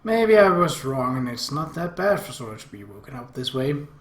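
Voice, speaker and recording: A man's voice: mid-range voice, very animated, moderate pace, natural voice. A man voicing an easygoing, teasing aunt who plays peacemaker in an anime visual novel. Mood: placating. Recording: roomy echo.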